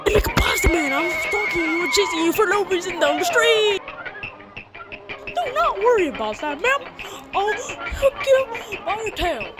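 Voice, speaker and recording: A woman dubs an old cartoon off the cuff in a high voice. Her delivery is silly and exaggerated, with pitch that swings widely. Loud cartoon music plays over her words. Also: sing-song, normal speed. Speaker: fan dubber of an old cartoon. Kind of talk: improvised comedic cartoon voice-over.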